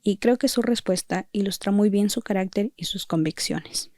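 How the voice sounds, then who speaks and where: A woman journalist hosting a podcast, giving measured, reflective scripted narration. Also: mid-range voice, a little mellow, no room sound, clean studio sound. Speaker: journalist host.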